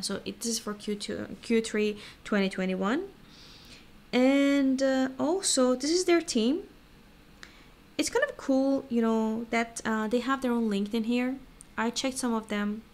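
A woman giving a casual, hesitant and rambling screen-share walkthrough as an online vlogger. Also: on the high side. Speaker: crypto vlogger. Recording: clear background noise.

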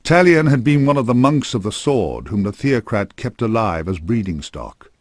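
Low-voiced man, solemn fantasy narrator. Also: animated, normal speed, no room sound, clean studio sound. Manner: solemn, formal storytelling. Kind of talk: scripted fantasy game narration. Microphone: close studio microphone.

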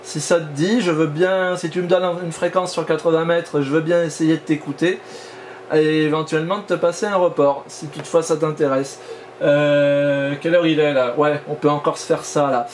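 A man's voice: a little high, steady tone, normal speed. A man operating amateur radio, talking in a casual, informal way during a contact. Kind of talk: casual ham radio exchange. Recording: clear background noise.

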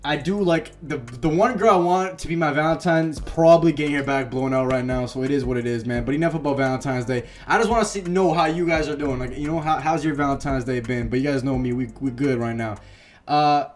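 A man's voice: medium pitch, a little fast. A young man in his late teens rambling casually over a pack-opening reaction. He uses lots of slang and fillers, and his voice is wearing thin. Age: young adult. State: losing his voice. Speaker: YouTube gaming creator.

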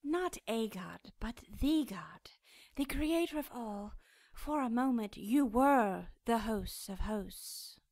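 High-voiced, imperious cherub. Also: female voice, lively intonation, a little mellow, dry recording, clean studio sound, exaggerated voice. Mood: solemn. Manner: formal, stately, measured phrasing. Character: cherub, powerful angel, cold, haughty and imperious. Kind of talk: radio drama acting.

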